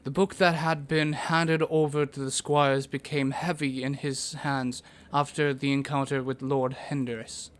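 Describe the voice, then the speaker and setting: A man's voice: medium pitch, little pitch movement, a little slow, few pauses. A man reading scripted narration for a fantasy audio story.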